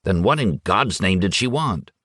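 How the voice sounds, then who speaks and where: A man's voice: low-pitched, big pitch swings, a bit bright, no room sound, clean studio sound, exaggerated voice. A man doing a scornful, hot-tempered husband. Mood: angry. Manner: impatient, sneering and quick to anger. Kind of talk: audiobook character voice.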